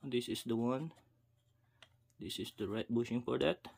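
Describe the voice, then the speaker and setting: A man casually narrating his own hands-on fishing rod repair to viewers, talking to himself between steps at an unhurried pace. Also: mid-range voice, vocal fry, a little mellow, husky. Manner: unhurried, self-talk with pauses, addresses viewers as guys. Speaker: fishing video host. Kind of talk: casual how-to narration while working. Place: indoors at a wooden table.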